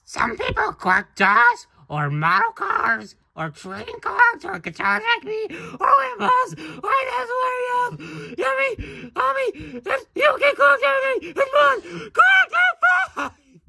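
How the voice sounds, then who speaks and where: A man voicing an excitable young Japanese girl rock star in an exaggerated, squealing amateur girl impression from a cartoon story. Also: very high voice, unhurried, gravelly, voice pushed up, full character voice. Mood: eager, bratty. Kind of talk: hobby story reading.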